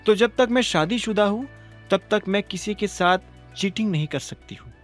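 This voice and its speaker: Man voicing a firm, weary young woman, raised pitch. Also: moderate pace, faint music behind, lightly acted. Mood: firm refusal. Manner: curt, restrained, firm. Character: weary, firm young married woman, coolly refusing advances. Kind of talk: Hindi audio drama character acting.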